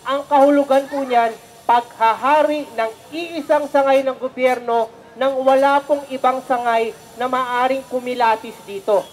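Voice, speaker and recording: A woman's voice: sits high, steady tone, measured pace, darkish tone, rough recording. A woman with a Filipino accent making an earnest political statement. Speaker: political speaker.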